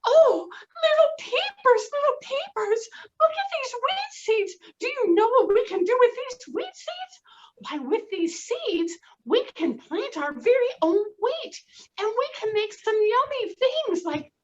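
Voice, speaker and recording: A woman doing the little red hen, squealing with excitement. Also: extremely high pitch, measured pace, voice pushed up, full character voice. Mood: excited. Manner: squealing, breathless, gushing. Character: excited, bubbly mother hen with chicks. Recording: slightly dull lo-fi recording.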